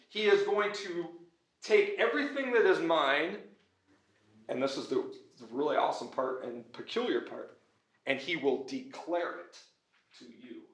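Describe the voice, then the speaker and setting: A man giving an earnest, informal conference talk as a pastor and teacher, often checking in with his listeners with a quick "right?". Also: fairly high pitch, a bit bright. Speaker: pastor, schoolteacher and conference speaker. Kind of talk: conference lecture with audience questions. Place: room at a church and school, before an audience. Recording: echoey room.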